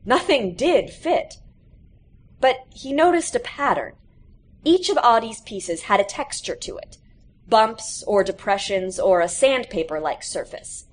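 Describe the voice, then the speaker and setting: Woman reading a story with lively pitch. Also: a little slow. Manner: animated, acts out quoted characters. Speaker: reader of a short story. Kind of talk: expressive live story reading, scripted narration.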